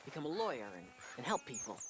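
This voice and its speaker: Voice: mid-range voice, wildly swooping pitch, quick pace, slight background noise. A performer playing an earnest, cheeky young frontier boy with an American Western accent, in a video game. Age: child.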